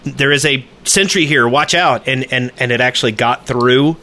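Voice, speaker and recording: A man's voice: mid-range voice, moderate pace, pushed and bright. A man co-hosting a casual gaming podcast who talks emphatically and repeats his phrases. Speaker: podcast co-host.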